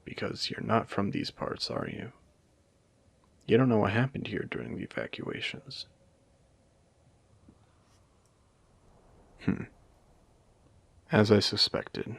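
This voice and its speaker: A man playing a courteous, eerie AI who hosts an abandoned post-apocalyptic casino in an audio drama, speaking theatrically with deliberate pauses. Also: no echo, heavily acted. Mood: grave, courteous. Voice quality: low, creaky voice. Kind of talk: scripted character audio drama monologue.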